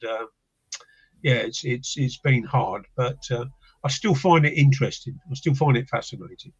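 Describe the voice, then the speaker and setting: A man's voice: mid-range voice, moderate pace, slightly rough. An older man, a paranormal investigator from England, talking as a guest in a casual chat, reflective and hesitant with many restarts. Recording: dull lo-fi line.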